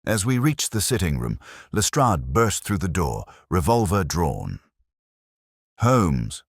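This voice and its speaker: Very deep-voiced man narrating a mystery. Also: big pitch swings, unhurried, breathy edge, dry recording, clean studio sound. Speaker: audiobook narrator. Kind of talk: scripted dramatic mystery narration.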